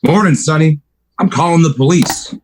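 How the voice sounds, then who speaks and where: A man's voice: fairly high pitch, normal speed, noisy background, natural voice. A man playing a plainspoken, matter-of-fact milkman and part-time partner in a radio play. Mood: deadpan.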